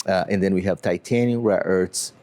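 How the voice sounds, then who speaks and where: A man from Brazil with a Brazilian accent, a company CEO explaining his business in an interview in a measured way with frequent fillers. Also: sits low, fairly even intonation, dark tone.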